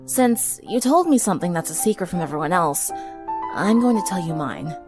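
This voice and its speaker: Gentle, earnest teen girl, acted by a female reader: compassionate, a little sheepish, storybook drama over clear music. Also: lowish voice, lively intonation, moderate pace, lightly acted. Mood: warm, confiding. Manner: compassionate, patient, easily embarrassed. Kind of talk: dramatic fan-fiction reading.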